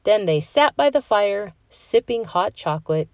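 A woman reading a children's story aloud in a measured, expressive way. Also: mid-range voice, no echo, clean studio sound. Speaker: storybook reader. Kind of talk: children's read-aloud narration.

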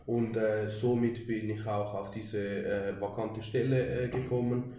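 Low-voiced man, very echoey. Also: flat delivery, warm tone. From Zurich, Switzerland. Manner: halting, many fillers. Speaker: interview guest, soldier. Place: outdoors beside an army vehicle. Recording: very echoey with faint noise.

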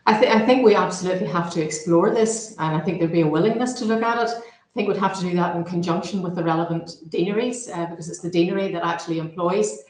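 A woman's voice: fairly low pitch, fast, a little mellow. A middle-aged woman commenting formally as a manager in an online meeting. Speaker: health service manager. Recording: echoey meeting room on video call.

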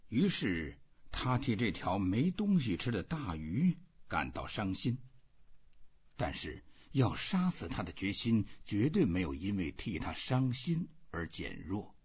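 A man narrates a literary story at a slow pace, in a notably breathy, husky voice. His delivery is measured yet expressive, with a pitch that moves freely. The recording sounds slightly dull. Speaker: audiobook reader.

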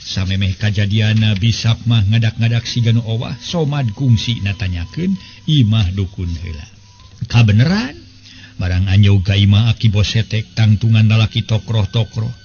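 Lalaki sepuh juru dongéng, sora rada handap. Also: male voice, lowish voice, darkish tone. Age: 70s. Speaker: storyteller and show host. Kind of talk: narrated folk serial storytelling. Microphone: close desk microphone. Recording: slightly dull sound with faint noise.

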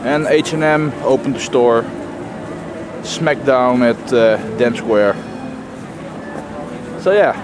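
A man's voice: medium pitch, takes it slowly, pauses often. A man giving casual, wry vlog commentary on the sights around him, speaking into a handheld camera outdoors. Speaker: vlogger. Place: outdoors on busy city streets. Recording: clear outdoor street and traffic noise.

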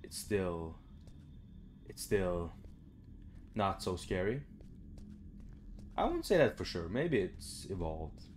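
A young man with a low voice talks casually through a game, muttering to himself and swearing freely. He is recorded in a room at home with faint noise behind him. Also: big pitch swings, takes it slowly, long pauses, darkish tone. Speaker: gaming video host. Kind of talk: casual let's play commentary. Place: small room indoors at home.

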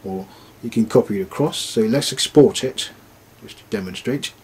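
A man giving an informal, unscripted step-by-step device demonstration, haltingly thinking aloud as he works through it. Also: lowish voice, slight background noise. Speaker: product demonstrator.

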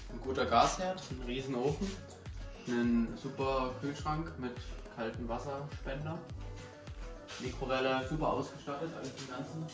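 Young male tour guide, showy short phrases, medium pitch, heard off-mic in echoey rooms. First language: German. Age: young adult. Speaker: vlog companion showing the accommodation. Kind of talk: casual house-tour commentary. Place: indoors in a furnished holiday house. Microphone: handheld camera a few steps away. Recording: echoey rooms, off-camera distance, music over it. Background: music.

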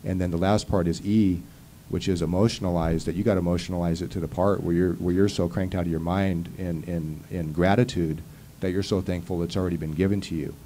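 A man's voice: sits low, fairly even intonation, fairly quick, dark tone, slight background noise. A man in his thirties speaking at a conference panel table into a handheld mic, earnest and rambling with many fillers and restarts. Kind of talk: casual panel talk. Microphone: handheld mic on stand.

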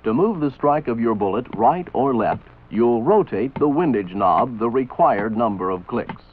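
An American man reading scripted instructional narration for a training film in a measured, authoritative, didactic manner. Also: medium pitch, animated, noisy background. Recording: tinny band-limited old film soundtrack. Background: voice-over for an old military training film.

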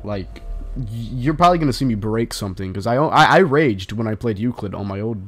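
A young man commentating his own horror game run, stuffy from a flu, swearing often and breaking into angry shouts. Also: lowish voice, animated, a little fast, soft background music. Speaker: gaming channel host. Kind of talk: casual gaming commentary.

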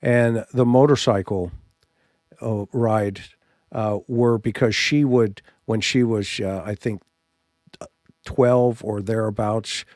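Male livestream host, middle-aged, low register, dry close-miked sound, halting and soothing delivery. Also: fairly even intonation, a little mellow, no echo. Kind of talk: live commentary to an audience. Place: small indoor home studio. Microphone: studio microphone on boom arm, close.

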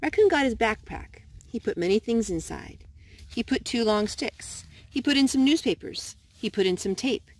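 High-voiced woman reading a children's story. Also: lively intonation, normal speed. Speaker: storytime host and narrator. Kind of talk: read-aloud children's storytelling.

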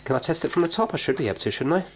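A man's voice: a little high, big pitch swings, very fast. Chatty British man over background noise. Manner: chatty, explanatory, thinking aloud. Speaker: repair vlog presenter. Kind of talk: casual hands-on repair commentary. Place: indoor room with wooden floor, working at floor level. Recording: clear background noise with clicks.

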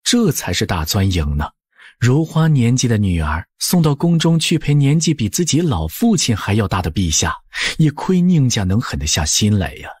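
A man narrating an audiobook as expressive scripted storytelling, with a husky voice. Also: mid-range voice, moderate pace, dry recording, clean studio sound.

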